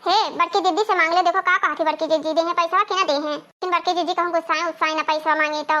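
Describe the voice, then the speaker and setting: Woman as a high, bright young Awadhi sister-in-law. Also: fairly even intonation, fast talker, dull audio. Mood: helpful. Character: helpful, cautious youngest sister-in-law in a village household. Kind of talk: cartoon family comedy dialogue.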